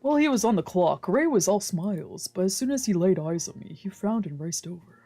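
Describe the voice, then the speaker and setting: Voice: mid-range voice, animated, fairly quick, mellow. A streamer reading a visual novel's narration aloud, occasionally stumbling over a word. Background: background music from a video game.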